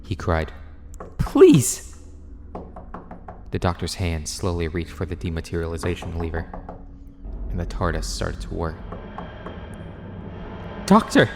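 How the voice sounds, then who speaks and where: A man's voice: sits low, level tone, a little fast, vocal fry, big-room sound, background noise. A man narrating a scripted dramatic story for an audio drama.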